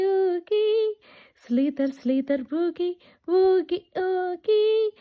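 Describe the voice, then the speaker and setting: A woman's voice: extremely high pitch, warm tone. A woman doing an interactive, sing-song read-aloud of a children's picture book, with repetitive, questioning delivery for young children. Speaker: children's storytime reader.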